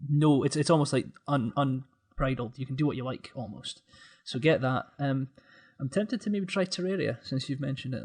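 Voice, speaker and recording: A male podcast co-host with a husky, breathy-edged voice at a medium pitch. He talks casually and enthusiastically, in the loose manner of informal conversation. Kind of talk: casual chat.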